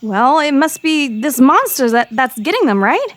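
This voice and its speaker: Nervy teen reporter girl, played by a woman: very high, animated, stammering and earnest, audio drama. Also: moderate pace, no echo. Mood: uneasy. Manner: stammering, pleading.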